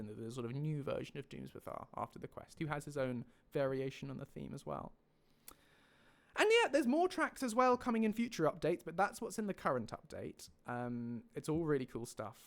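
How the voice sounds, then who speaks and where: A man hosting a livestream, casually explaining updates to viewers in an enthusiastic, rambling way. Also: a little high. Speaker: mod creator and livestream host.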